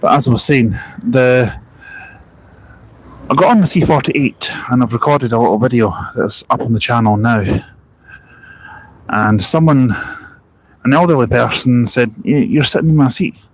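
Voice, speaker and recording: A chatty young man talks on a live stream from outdoors at a bus park and ride. His voice sits in a middling pitch. He rambles loosely between anecdotes, remarks on the buses going by and answers viewer comments. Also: normal speed, pauses often, dry recording, rough recording. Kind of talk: casual live-stream chat.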